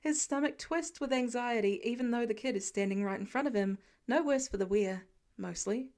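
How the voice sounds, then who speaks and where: High-voiced woman narrating a story. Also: normal speed, darkish tone. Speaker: audiobook narrator of fan fiction.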